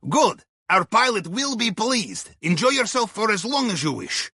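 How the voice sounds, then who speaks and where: Russian heist advisor, wry submariner, bright husky voice, dry dark humor, clipped grammar, video game briefing. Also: male voice, high-pitched, sing-song, normal speed, no room sound, exaggerated voice. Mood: pleased, businesslike. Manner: dry, darkly humorous, articles dropped from speech. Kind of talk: video game mission dialogue.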